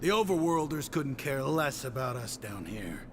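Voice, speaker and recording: An actor playing a cocky, self-important gossiping inmate in a video game, boastful and conspiratorial. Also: male voice, mid-range voice, sing-song, normal speed, close to an everyday voice. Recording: game music behind the voice.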